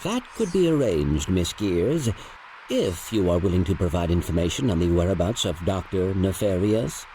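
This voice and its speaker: A man's voice: low voice, big pitch swings, moderate pace, warm tone, a little hiss or hum. A man playing a small, polite robot in a video game, speaking in formal, precise and prim phrases. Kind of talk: video game cutscene acting.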